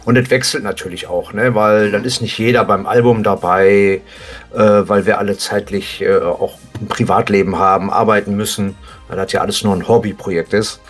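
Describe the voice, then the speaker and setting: A man's voice: on the low side, fairly even intonation, moderate pace, faint music behind. A man talking casually as an interview guest, explaining things in a colloquial, plain-spoken way. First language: German. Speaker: podcast guest, hobby musician.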